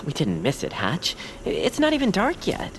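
A fretful, courteous friend in an audio drama. The delivery swings widely in pitch, with hesitant, quaint phrasing and polite timidity. A faint noise sits behind the voice. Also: female voice, huge pitch swings, normal speed, full character voice. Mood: uneasy. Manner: hesitant, old-fashioned genteel phrasing. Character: timid, fretful, polite friend named Noah, afraid of heights. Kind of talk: audio fiction acting in a quaint old-radio manner.

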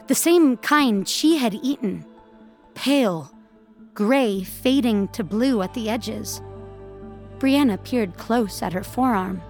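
Slow-paced woman narrating audio fiction. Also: fairly high pitch. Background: music.

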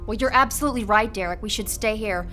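A woman's voice: medium pitch, quick pace, sharp tone, faint music behind, lightly acted. An actress playing a sarcastic, frantic young woman trapped with her partner in a horror audio drama, biting and exasperated. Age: young adult. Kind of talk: modern horror radio drama acting.

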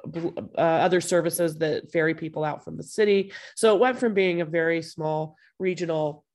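Woman, hoarse from a cold, casual podcast host, video call from home. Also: deep voice, normal speed, darkish tone. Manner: chatty, friendly, loose with fillers.

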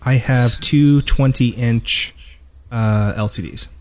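A man's voice: medium pitch, slow, darkish tone. Wry man in casual podcast chat. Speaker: podcast co-host.

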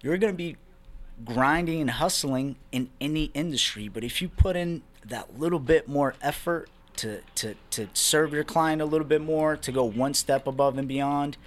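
A young man from Brazil, a real estate investor, talking as a guest in a relaxed podcast conversation, peppering his talk with "man" and "you know". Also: a little high, moderate pace. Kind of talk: casual interview talk.

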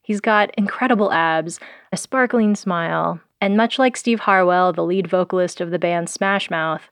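A woman's voice: lowish voice, normal speed, clear, resonant tone, no room sound, clean studio sound. A woman born in South Korea and raised in the US, hosting an audio documentary in a wry, sardonic voice with pop-culture slang. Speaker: producer and host. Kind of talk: scripted audio documentary narration.

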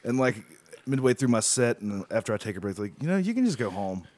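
A man's voice: medium pitch, sing-song, a little mellow, no echo. A man, a musician, joking crudely in casual podcast chat. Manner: crude, quick, laughing.

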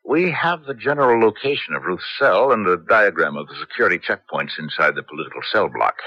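An actor playing a shrewd, determined American man planning a risky rescue, measured and earnest, in a 1970s radio drama. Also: deep voice, lively intonation, dry recording. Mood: briefing. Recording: dull vintage radio broadcast.

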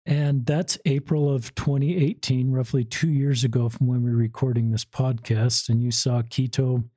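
A man works as a podcast host, putting questions to a guest in a conversational way. His voice sits at a medium pitch and is recorded dry, with very little room around it. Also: flat delivery, normal speed, warm tone, no room sound. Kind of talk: conversational interview.

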